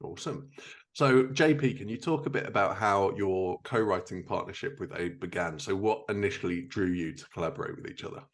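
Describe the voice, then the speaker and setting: A male podcast host in an upbeat, playful manner. His voice sits a little low, and he moves between scripted announcements and light teasing of his guests. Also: a little fast. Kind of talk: scripted intro then interview chat.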